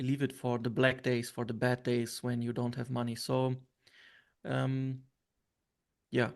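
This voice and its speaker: Gravelly-voiced man from Syria, hesitant. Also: medium pitch. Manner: hesitant, many fillers and restarts. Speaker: interview guest. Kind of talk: reflective interview answers.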